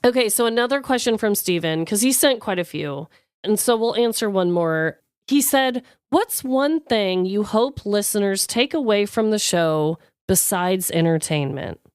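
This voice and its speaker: A woman co-hosting a podcast in an expressive, informal chat with listeners and her co-host. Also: medium pitch, moderate pace, clear, resonant tone, dry recording, studio quality. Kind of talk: casual chat, reading listener questions.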